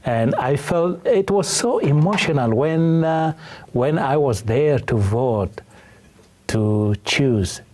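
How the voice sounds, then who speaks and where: A middle-aged man with a Sudanese accent, giving a halting, measured personal account as an interview guest. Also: fairly high pitch, animated, a little mellow.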